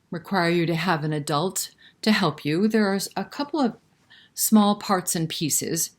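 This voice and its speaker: Woman, children's storytime host, lowish voice, very unhurried, talking straight to little listeners. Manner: speaks directly to young children, asking questions. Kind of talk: children's picture-book read-aloud and chat.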